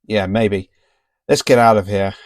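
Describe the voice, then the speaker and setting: A man's voice: fairly low pitch, fairly even intonation, a little slow, darkish tone, dry recording, lightly acted. A man playing an earnest teenage boy computer whiz who leads his team, in a fan audio drama. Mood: serious.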